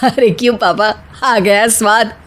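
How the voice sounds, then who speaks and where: A woman voicing a mischievous grown son and husband who teases in a mocking, melodramatic way in an animated story. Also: on the high side, measured pace. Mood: teasing. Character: teasing adult son, mischievous prankster husband.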